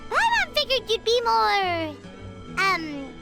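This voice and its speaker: A woman's voice: wildly swooping pitch, slow pace, gravelly, full character voice. A woman voicing a tiny chirpy female flying companion who calls herself by name, in a game scene with music behind. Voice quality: high and squeaky. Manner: talks about herself in third person.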